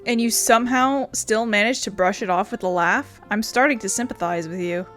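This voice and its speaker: A woman's voice: medium pitch, a little fast, a bit bright, faint music behind. A woman casually talking and reading dialogue aloud during a game playthrough.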